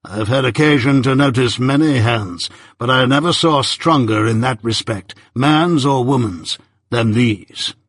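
Domineering older gentleman voiced by a male narrator, slow, emphatic, commanding, slightly husky, for a classic storybook. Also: medium pitch, lively intonation, no room sound, clean studio sound, heavily acted. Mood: coolly appraising. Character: domineering, deliberate older male lawyer and guardian. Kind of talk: audiobook character voice.

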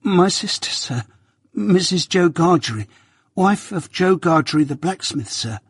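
A man's voice: high-pitched, lively intonation, measured pace, slightly rough, no echo, clean studio sound, voice pushed up, heavily acted. A man voicing a small frightened boy who answers timidly and pleads.

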